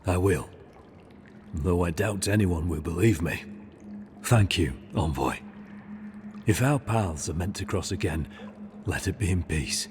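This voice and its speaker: Deep-voiced, solemn repentant assassin. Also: male voice, unhurried, pauses often, darkish tone, a little hiss or hum. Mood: humbled, grateful. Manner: grave, earnest, formal oratory. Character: solemn honorable repentant male assassin, devout zealot. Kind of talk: dramatic video game dialogue. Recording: game music behind the voice. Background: music.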